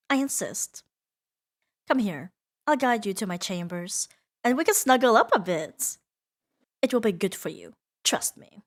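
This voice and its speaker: A woman voicing a seductive, possessive female demon in an intimate ASMR roleplay, teasing and soothing with soft dominance. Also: on the low side. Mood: coaxing, possessive. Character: seductive, possessive female demon doting on her new pet.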